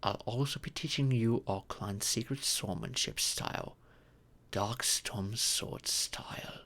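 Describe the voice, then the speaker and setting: A man voicing a gentle, ancient dragon parent in humanoid form, formal and patient in a scripted audio roleplay. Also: medium pitch. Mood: proud, instructive. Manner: formal, measured, patient, explanatory.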